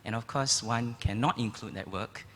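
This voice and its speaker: A man in the audience asking a panel a question, halting and searching for words. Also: mid-range voice, a bit bright. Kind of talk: question from the floor. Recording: long hall reverb with faint background noise.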